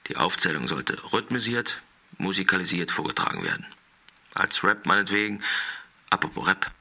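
Dry radio play narrator giving directions, deep voice, really crisp bright edge, lots of fry. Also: male voice, very animated, moderate pace, full character voice. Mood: matter-of-fact, instructing. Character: dry male voice reading out the script's stage directions.

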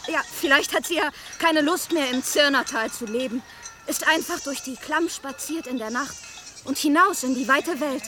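Woman acting young jealous village girl, high voice, pointed digs, goats bleating behind, radio drama. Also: normal speed, background noise, close to an everyday voice. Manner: pointed, insinuating. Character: jealous, possessive young village miller's daughter. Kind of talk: naturalistic radio play dialogue.

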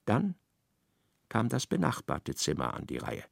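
A man narrating a literary radio play in an expressive, measured storytelling manner. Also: on the low side, gravelly, exaggerated voice.

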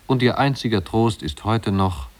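A man's voice: on the low side, expressive, normal speed, a little mellow, lightly acted. A man narrating a story in a scripted 1950s German radio play.

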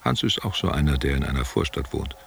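A man's voice: rumbling low, expressive, fast talker, vocal fry, slight background noise, full character voice. An actor playing an older man, a former actor and soldier, who muses intimately in a literary radio play, asking rhetorical questions. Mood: reminiscing. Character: old former actor and war veteran, reminiscing, tenderly condescending.

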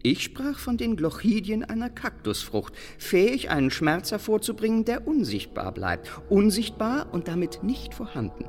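An actor playing a lofty, learned man who muses in riddles, deliberate and declamatory, in a radio drama. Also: high voice, sing-song, darkish tone. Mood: cryptic, musing. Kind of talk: German radio drama acting. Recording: music under the voice.

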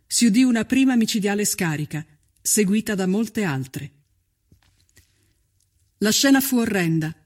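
Guy with a fairly high voice doing serious documentary narration, measured and dramatic.

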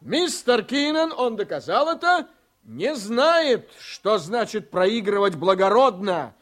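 A combative, self-righteous politician in a radio drama. His voice sits high and swings in lively arcs as he declaims each point emphatically. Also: slow, heavily acted. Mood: indignant, proud. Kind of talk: Soviet radio drama acting.

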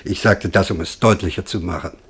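An actor playing a pompous, pedantic male museum scholar with a husky voice in a German radio play. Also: sits low, brisk, a bit bright, exaggerated voice. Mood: disdainful. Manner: pompous, hesitant, exacting.

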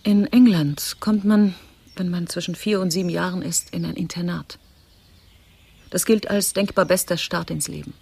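An actress playing a composed, domineering woman in a German radio play, emphatic and deliberate. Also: lowish voice, fairly even intonation, gravelly, a little mellow, breathy edge. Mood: cool, bitter.

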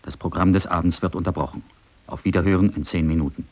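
A 1930s radio news announcer with a low voice. He proclaims an urgent bulletin in formal, clipped phrases, as in a radio play. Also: steady tone, fast, darkish tone. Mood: grave, urgent.

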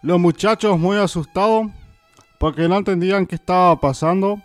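A man's voice: sits high, normal speed, faint music behind. A man reading a scripted folk tale as the narrator of a radio play, with an occasional stumble. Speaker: storyteller, narrator.

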